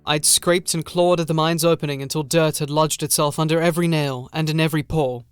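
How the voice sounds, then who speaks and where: Smooth, higher-voiced man narrating horror. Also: fairly even intonation, a little fast, dry recording. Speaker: audiobook narrator and author. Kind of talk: first-person horror audiobook narration.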